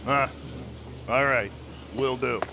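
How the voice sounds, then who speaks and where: A man's voice: medium pitch, slow, vocal fry. An actor playing a nervy, humble male cantina keeper in an audio drama, with an informal, colloquial way of talking. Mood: anxious, compliant. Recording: noisy bar ambience behind the voice.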